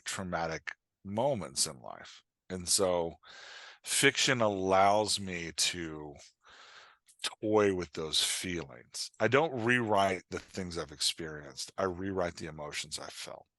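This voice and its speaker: Podcast guest: middle-aged male, lowish pitch, dry close sound, candid and self-deprecating, slips into quoted asides. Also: takes it slowly, fairly bright tone, no echo. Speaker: podcast guest, fiction writer and dialogue coach. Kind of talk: candid, conversational interview talk.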